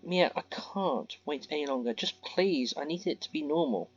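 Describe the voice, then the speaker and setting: A man's voice: fairly high pitch, lively intonation, normal speed, breathy edge. A man voicing a desperate young man who meekly begs and apologizes. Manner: meek, begging. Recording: dull lo-fi audio, faint guitar music.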